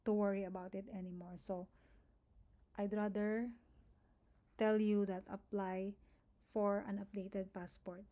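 This is a young woman with a Filipino accent talking through a tutorial, her first language Tagalog. Her voice is mellow and fairly level in pitch. She repeats phrases, restarts words, and keeps checking in with "okay?" as she explains. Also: flat delivery, a little slow, pauses often. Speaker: nurse giving an application walkthrough. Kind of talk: screen-recorded tutorial, reading and explaining.

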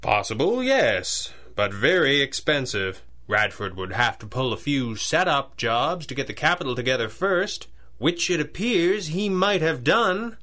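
Crisp, forceful masked crime-fighter. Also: male voice, medium pitch, big pitch swings, measured pace. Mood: grave, analytical. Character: brooding, wealthy masked crime-fighter, commanding boss. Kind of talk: 1930s radio adventure drama acting.

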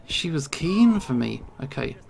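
Let's play streamer, mid-range creaky voice, nervous self-talk. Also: mid-range voice, very animated, a little slow, a little mellow, a little hiss or hum. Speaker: video game streamer.

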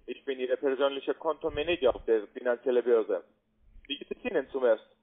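A man's voice: mid-range voice, a little fast. A man speaking non-native German, making a sales call as an account manager. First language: not German. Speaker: cold caller, investment account manager. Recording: tinny phone line.

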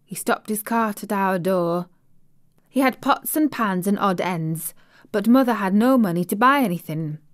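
A woman's voice: mid-range voice, moderate pace, natural voice. A woman voicing a cheerful, chatty Yorkshire servant girl in broad dialect, for an audiobook. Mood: excited. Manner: broad dialect, lively and excited.

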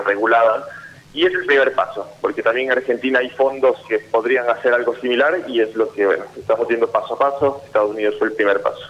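A young man from Ecuador with an Ecuadorian accent, a startup founder giving measured, explanatory answers as a guest in a radio studio. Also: medium pitch, highs cut off, a little hiss or hum. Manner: measured, explanatory, repeats small words. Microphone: studio boom mic.

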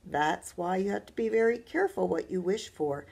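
Play as a woman reading aloud, a tender old grandmother in a storybook: soft, slow and protective, with a low voice that has a gravelly edge. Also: lively intonation, heavily acted.

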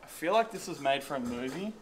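A man's voice: a little high, lively intonation, fast, a bit bright, slight background noise. A young man chatting casually and enthusiastically in slang as he guides a tour. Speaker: surfboard factory tour guide. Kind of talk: casual chat. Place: indoor surfboard factory.

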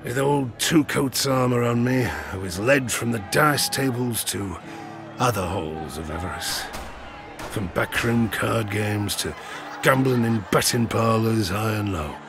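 Old ruined gambler and harpooner, British accent, low gravelly voice, rueful, rustic tavern storyteller, audio drama. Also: male voice, unhurried. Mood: haunted, regretful. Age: older. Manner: folksy, rustic, rambling tavern yarn-spinning. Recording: studio drama with music behind. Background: music.

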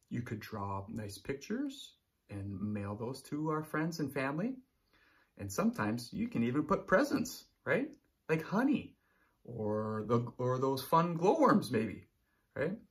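A man in his thirties, a teacher reading aloud and explaining things to children expressively, often checking in with listeners. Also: medium pitch. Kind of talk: children's read-aloud lesson. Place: small room indoors.

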